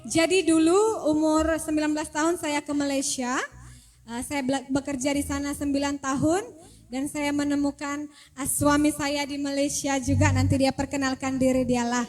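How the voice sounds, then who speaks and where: A woman's voice: high voice, level tone, moderate pace, darkish tone. A woman from a visiting mission team introducing herself to a church in a friendly, chatty way. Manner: friendly, chatty, light laughter.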